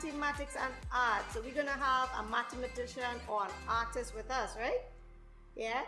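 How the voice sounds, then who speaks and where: Lady hosting kids storytime, highish voice, encouraging, bit roomy w faint music. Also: steady tone, normal speed, bright, forceful tone. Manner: encouraging, addresses listeners directly. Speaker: host of a children's storytime show. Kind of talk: presenter talk to parents and children.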